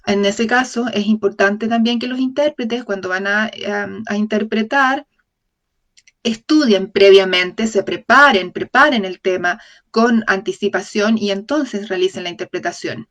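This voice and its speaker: A woman voicing a lecture for an interpreter, speaking in a measured way, often correcting herself mid-phrase and checking in with the audience. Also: mid-range voice, a bit bright. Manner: measured, with frequent self-corrections and tag questions to the audience. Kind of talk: voiced-over lecture in an open talk series.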